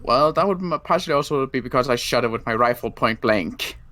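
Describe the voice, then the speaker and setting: A man's voice: medium pitch, lively intonation, a little fast, sharp tone, no room sound. A man roleplaying a wounded, rifle-carrying survivor in a zombie game, blunt and wry with a folksy turn. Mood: grim, blunt. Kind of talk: improvised Minecraft roleplay.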